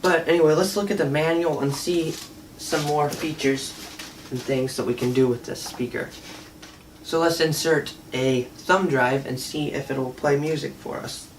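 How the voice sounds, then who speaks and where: A man's voice: fairly high pitch, fairly even intonation, unhurried, a little hiss or hum. A man giving a casual, unscripted product demo in a hesitant, conversational way. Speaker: product reviewer. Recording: distant mic, faint music at times.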